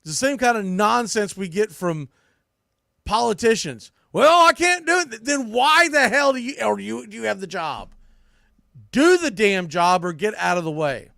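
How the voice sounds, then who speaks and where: An American man with a fairly high voice hosts a sports podcast in a dry, close-sounding space. He delivers opinionated baseball commentary bluntly and emphatically, with some salty language. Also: big pitch swings, normal speed, creaky, bright, forceful tone, dry recording. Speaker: sports podcast host and play-by-play broadcaster.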